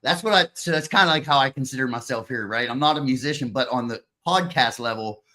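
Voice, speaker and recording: A man hosting a live podcast, chatting casually in an excitable, rambling way on a video call. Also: mid-range voice, fast. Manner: excitable, rambling, says "dude" and "bro". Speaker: podcast host. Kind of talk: casual chat.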